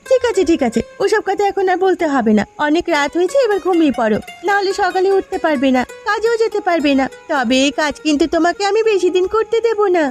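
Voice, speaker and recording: A woman's voice: very high voice, lively intonation, fast talker, hardly any pauses, a little mellow, faint music behind. A woman voicing a proud, protective village housewife in a cartoon, nagging and emphatic as she speaks. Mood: firm, advising. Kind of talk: cartoon dialogue.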